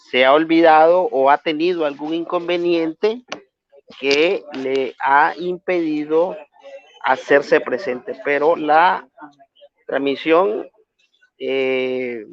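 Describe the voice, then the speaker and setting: A man from Nicaragua with a Nicaraguan accent moderating an online debate, speaking formally with frequent hesitations. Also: a little high. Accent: Nicaraguan Spanish. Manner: measured, formal, many hesitations. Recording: dull lo-fi online stream audio.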